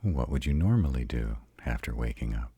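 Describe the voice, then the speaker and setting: An arrogant yet devoted vampire, played by a man for a romantic roleplay audio. His voice is extraordinarily deep with a husky rasp, recorded dry and close. He speaks deliberately and tenderly, like a patient, intimate teacher. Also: animated, dark tone, no room sound, full character voice. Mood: gentle, reassuring. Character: arrogant male vampire, devoted mate and patient mentor to a newly turned partner.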